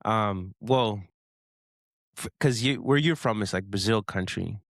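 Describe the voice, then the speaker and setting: A man from Canada co-hosting a podcast, talking in animated casual chat and often repeating words as he builds a point. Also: fairly low pitch, unhurried, no echo, studio quality. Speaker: podcast co-host.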